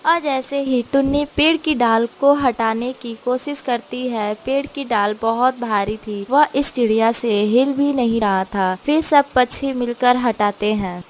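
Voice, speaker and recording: A woman's voice: fairly high pitch, unhurried, hardly any pauses, slight background noise. A woman reading scripted narration for a children's cartoon story. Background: music.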